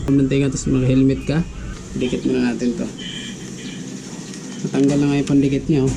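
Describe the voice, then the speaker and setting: A man's voice: medium pitch, little pitch movement, fairly quick, gravelly, background noise. A man talking casually as a vlogger walking viewers through a do-it-yourself tutorial. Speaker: vlogger giving a DIY tutorial.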